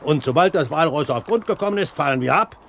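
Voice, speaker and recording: An actor playing a determined pirate captain giving orders in a radio play, commanding and articulate. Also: male voice, a little high, animated, fast. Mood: resolute. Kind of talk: German radio play acting.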